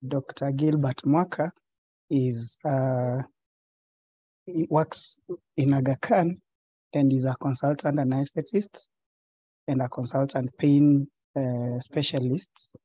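A man moderating an online webinar, formally introducing the guest speaker. His voice is very dark and mellow, recorded dry and close. He pauses on frequent fillers. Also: medium pitch, no room sound, rough recording. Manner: hesitant, many fillers. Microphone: video call.

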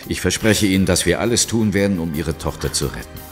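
An actor playing a steady, reassuring ghost hunter in a horror audio drama. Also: male voice, low-pitched, quick pace, faint music behind. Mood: reassuring, resolute. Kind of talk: German horror audio drama, dialogue and first-person narration.

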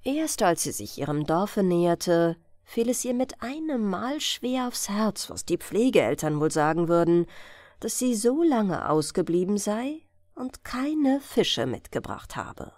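Female audiobook narrator for bedtime fairy tales: unhurried, melodic intonation, gentle delivery, dry close sound. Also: mid-range voice, sing-song, no echo.

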